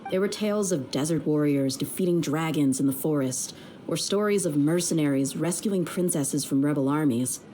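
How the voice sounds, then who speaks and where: A woman's voice: deep voice, normal speed, warm tone, slight background noise, lightly acted, low-quality recording. A woman playing a tough, easygoing young mercenary in a video game, frank and self-assured. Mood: wry, frank. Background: background music.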